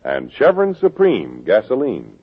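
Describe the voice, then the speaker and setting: A man's voice: lowish voice, huge pitch swings, unhurried, very noisy. A man with an American accent announcing in the style of 1940s radio drama. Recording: dull old radio recording. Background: dramatic music.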